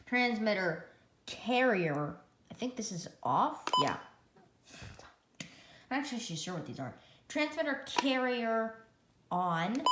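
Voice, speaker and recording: Echoey, noisy recording of a lowish-voiced woman. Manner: rambling, reading menu items aloud one by one. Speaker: product reviewer. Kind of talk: casual hands-on gadget demonstration. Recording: echoey room with loud noise and beeps.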